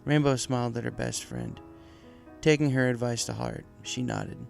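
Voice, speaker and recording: A man's voice: medium pitch, huge pitch swings, moderate pace, gravelly, darkish tone. A man reading scripted story narration as the narrator, close to the microphone. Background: music.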